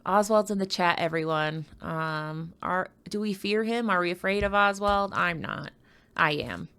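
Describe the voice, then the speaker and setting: A woman's voice: on the low side, unhurried, a bit bright, clean tone. Playful, chatty American woman commentating live. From United States. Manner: chatty, playful, rambling with asides. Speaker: livestream card tournament commentator. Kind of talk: casual live commentary and banter with chat.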